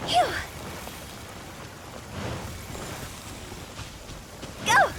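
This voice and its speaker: A woman voicing a tiny, excitable, childlike fairy companion girl in a video game, curious and exclamatory. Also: normal speed, a little hiss or hum, natural voice. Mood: excited.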